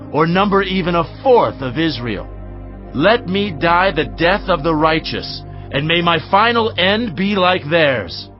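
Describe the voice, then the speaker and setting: An actor playing a proud, fiery ancient prophet in a dramatised audio Bible, with declamatory theatrical delivery. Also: male voice, a little high. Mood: solemn, proclaiming. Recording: dull audio under loud music.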